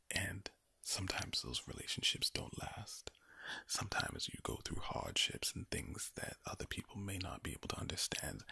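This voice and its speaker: Guy doing whispered asmr comfort talk, super slow and repetitive, villain-ish husky voice, real close and dry. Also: bright, forceful tone, dry recording. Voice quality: low, breathy, villainous-sounding whisper. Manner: slow, repetitive, soothing address to the listener. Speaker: ASMR creator speaking to the listener.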